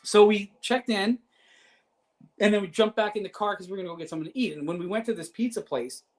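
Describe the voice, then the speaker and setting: A man with a fairly high voice, a guest musician in a casual chat, telling stories in a rambling, animated way full of restarts and laughter. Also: fast talker.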